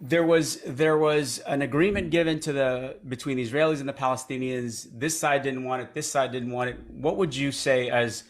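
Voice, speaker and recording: A male host asks questions in a meandering, halting way, restarting his phrases as he goes. He speaks in a plain mid-range voice over a video call. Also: medium pitch, steady tone. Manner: rambling, hesitant questions with restarts. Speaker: interview host. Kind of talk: conversational interview.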